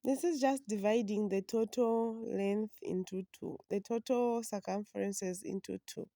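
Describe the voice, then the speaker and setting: A woman, hoarse from a flu, patiently explaining a sewing pattern step by step. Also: on the high side, dark tone, no room sound. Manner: slow, patient instructions. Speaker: sewing instructor. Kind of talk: step-by-step drafting tutorial.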